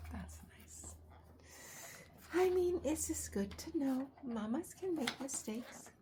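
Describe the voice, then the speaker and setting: A woman reading a bedtime story aloud to young children, soft and often breathy, adding chatty asides in an expressive way. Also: fairly high pitch, slight background noise. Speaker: children's story reader, host.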